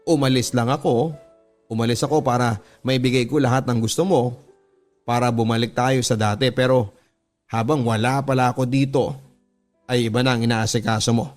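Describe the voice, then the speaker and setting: A man's voice: mid-range voice, fairly even intonation, normal speed, pauses often, natural voice. A man voicing a jilted, clinging ex-boyfriend in a radio drama, reproachful and pleading. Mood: hurt, reproachful. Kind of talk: radio drama character dialogue. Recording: music bed under the voice.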